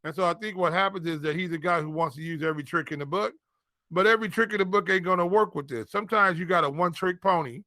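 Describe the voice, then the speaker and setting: Bright, higher-voiced man joking about sports. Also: quick pace, dry recording, studio quality. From Indiana, United States. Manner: joking, folksy, stammering repeats. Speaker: sports podcast co-host. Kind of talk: casual fantasy football chat.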